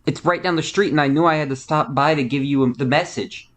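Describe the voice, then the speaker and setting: Woman as a deadpan, unimpressed secretary. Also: sits low, fast, natural voice. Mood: matter-of-fact. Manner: deadpan, matter-of-fact. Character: dry, unimpressed married female office secretary. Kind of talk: comedic audio play acting.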